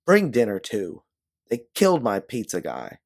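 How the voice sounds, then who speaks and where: A man reads as a blunt fugitive woman in a sci-fi audio story. She is sarcastic and bitter, with a low register and big swings in pitch. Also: wildly swooping pitch, measured pace, a little mellow, exaggerated voice. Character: sarcastic, embittered fugitive woman time traveler.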